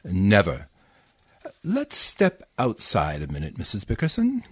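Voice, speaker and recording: A man's voice: lowish voice, huge pitch swings, measured pace, very noisy. A man playing a formal, pompously clinical physician in a 1940s-style radio comedy. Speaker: community theatre actor.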